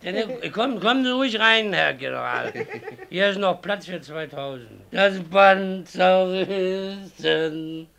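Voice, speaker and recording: High, creaky, tipsy merrymaker. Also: male voice, takes it slowly, sharp tone, full character voice. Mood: tipsy, jovial. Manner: rambling, repetitive, chummy. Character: tipsy, jolly man singing and babbling about his mother. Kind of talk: radio play acting.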